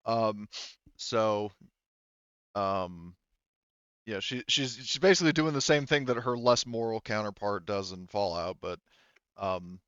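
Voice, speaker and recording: Smooth, low-voiced male game master, slightly muffled. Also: fairly bright tone, no echo. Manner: halting, thinking aloud while working through rules and dice. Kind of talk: running a tabletop role-playing game, casual chat.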